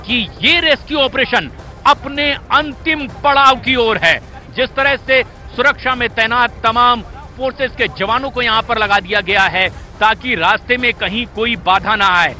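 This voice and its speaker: A man's voice: extremely high pitch, pushed and bright, poor audio. A man anchoring live breaking news in an urgent, emphatic manner, often repeating phrases for weight.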